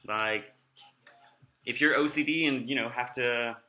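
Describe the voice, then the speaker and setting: A man's voice: medium pitch, unhurried, bright, forceful tone, roomy sound. A young man vlogging in a casual, rambling way, sounding nasal and slurring his words a little. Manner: rambling, off-topic, chatty.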